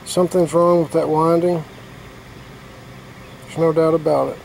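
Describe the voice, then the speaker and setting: Gravelly, flat-voiced repair technician. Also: male voice, on the high side, a little slow, slight background noise, poor audio. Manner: plain-spoken, talks through each step with fillers. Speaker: HVAC technician. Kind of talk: casual hands-on repair demonstration. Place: outdoors beside an air-conditioning unit.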